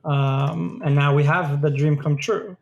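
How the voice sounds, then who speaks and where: A man with a slightly high voice co-hosts a casual podcast. His talk is loose and chatty, full of "like" and "um" as he goes along. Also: steady tone, measured pace, vocal fry, muffled recording. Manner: loose, filler-heavy talk with frequent "like" and "um". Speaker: podcast co-host. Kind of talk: casual political chat.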